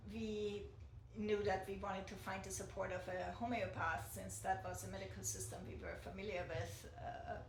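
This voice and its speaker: A middle-aged woman from Austria, giving a personal testimonial as a mother, hesitant with many fillers and restarts. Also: mid-range voice. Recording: echoey room with background noise.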